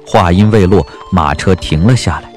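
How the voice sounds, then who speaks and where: A man narrating a story as an audiobook narrator, in a scripted, expressive and measured dramatic style. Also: sits low, faint music behind.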